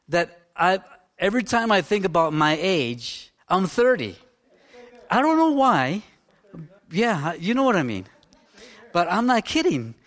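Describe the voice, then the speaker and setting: A man in his fifties, a minister preaching in a fervent, rambling way that swings between loud exclamations and hushed talk. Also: high voice. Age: 50s. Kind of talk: informal sermon.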